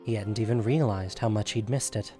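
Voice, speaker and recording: A man reading scripted fantasy fiction as a narrator, in a measured, dramatic storytelling manner. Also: fairly low pitch, warm tone, faint music behind.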